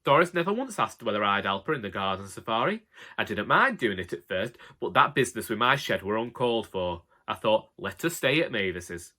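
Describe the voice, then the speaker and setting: Man playing an elderly Northern English husband, long-suffering, deadpan grumbling, bright forward tone, comic storybook monologue. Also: on the low side, a little fast. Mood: grumbling, wry. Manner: colloquial, wry, deadpan grumbling. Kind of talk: first-person comic monologue, audiobook narration.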